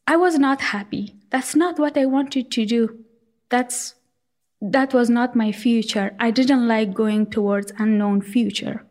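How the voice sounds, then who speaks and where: A young woman from Afghanistan telling her own life story in an earnest, halting way, with frequent fillers. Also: fairly high pitch, darkish tone. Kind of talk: personal storytelling.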